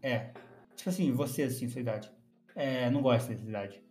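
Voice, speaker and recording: A young Brazilian man hosting a casual chat about magic, blunt and quick to swear. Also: medium pitch, mellow, soft background music. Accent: Brazilian Portuguese. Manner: blunt, rapid, swears freely. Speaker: podcast host.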